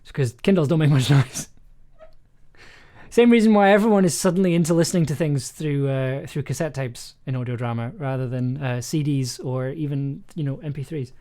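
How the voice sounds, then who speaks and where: A writer livestreaming their work, thinking aloud casually in halting mutters with bursts of jokey, animated asides. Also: mid-range voice. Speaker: audio drama writer, livestream host. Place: indoors at a desk, livestreaming. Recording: clear keyboard typing and clicking noise. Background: computer keyboard typing, clicks.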